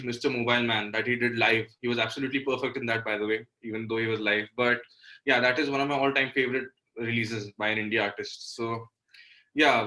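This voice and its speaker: A man's voice: mid-range voice, bright, forceful tone, poor audio. A man in his twenties with an Indian accent, giving casual, conversational answers as a musician being interviewed. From Delhi, India. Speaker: interview guest, singer-songwriter.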